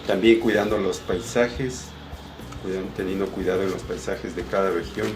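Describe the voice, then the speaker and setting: An Ecuadorian man giving an informal, somewhat halting explanation of his work as an illustrator, often repeating words. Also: low-pitched, steady tone. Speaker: comic illustrator. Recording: roomy echo with music behind. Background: background music.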